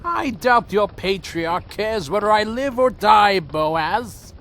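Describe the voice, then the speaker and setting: A man's voice: high-pitched, animated, slow pace, fairly bright tone, slight background noise, heavily acted. A man playing a weary, proud winged vampire clan leader in a fan audio drama, speaking formally and broodingly. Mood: wry, clipped.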